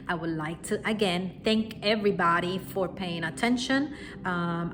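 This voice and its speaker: A woman from Puerto Rico with a strong Puerto Rican accent, giving an unscripted spiritual lesson straight to her viewers at home, earnest and reassuring. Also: fairly low pitch. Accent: Puerto Rican Spanish. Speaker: spiritual teacher. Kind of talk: unscripted spoken lesson to camera. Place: at home, quiet room. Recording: music playing behind the voice.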